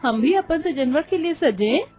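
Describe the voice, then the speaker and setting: A woman's voice: high-pitched, lively intonation, measured pace, darkish tone, voice pushed up. A woman voicing a brash, teasing young village daughter-in-law with a Bhojpuri accent.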